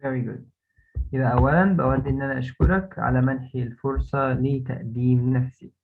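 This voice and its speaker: Woman English tutor, Egyptian Arabic accent, patient slow drilling, tinny call line. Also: very deep voice, fairly even intonation. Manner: patient, repeats phrases slowly for drilling. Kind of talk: one-on-one lesson.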